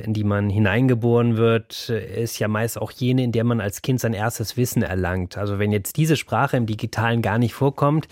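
A man's voice: on the low side, darkish tone, dry recording, clean studio sound. A male radio host moderating a magazine show, conversational and thoughtful.

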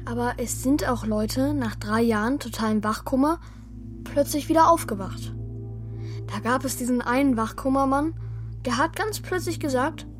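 Young boy played by a performer, worried, introspective, hesitant; higher voice; children's audio drama narrator-hero. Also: moderate pace, soft background music, natural voice. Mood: matter-of-fact. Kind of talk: children's radio play, acting and first-person narration.

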